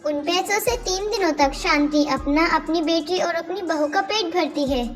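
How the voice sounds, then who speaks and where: A woman's voice: very high voice, moderate pace. A woman reading scripted narration for a Hindi moral story, with music behind her.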